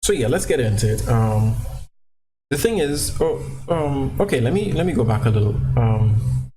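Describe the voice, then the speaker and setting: A man's voice: mid-range voice, expressive, normal speed, background noise. A man with a Trinidadian accent giving casual, rambling commentary over gameplay, full of fillers and restarts, recorded on a cheap microphone at home. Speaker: gaming channel creator. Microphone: cheap low-quality microphone.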